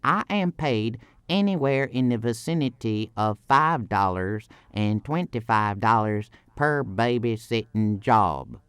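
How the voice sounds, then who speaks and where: Man as a smooth, deadpan babysitter. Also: fairly low pitch, dry recording. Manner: formal, deadpan, precise. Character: earnest, deadpan professional babysitter, formerly a farmer. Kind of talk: comic monologue on a voice demo.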